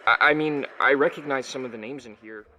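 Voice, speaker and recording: A man's voice: mid-range voice, fast, sharp tone, faint music behind, rough recording. A young man playing a puzzled, polite boy with amnesia, hesitant and earnest, in an audio drama. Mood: puzzled, urgent.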